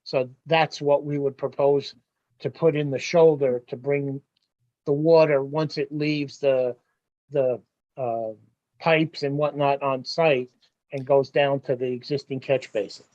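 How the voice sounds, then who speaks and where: A man's voice: medium pitch, fairly even intonation, measured pace, darkish tone. A man presenting his site plans to a village board, explaining in a practical, plain-spoken way. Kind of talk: explaining at a public meeting. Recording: lo-fi meeting audio.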